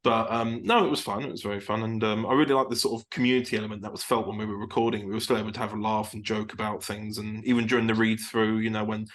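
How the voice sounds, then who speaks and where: A man's voice: fairly low pitch, little pitch movement, fast talker, few pauses. A man speaking as a voice actor and podcast guest in a casual group chat, hesitant and often restarting his words.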